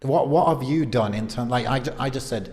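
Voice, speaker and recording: A man's voice: mid-range voice, brisk. A young man in casual podcast conversation, telling anecdotes and acting out what people said. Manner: animated, acts out reported dialogue. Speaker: podcast speaker. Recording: long reverb of a hollow room.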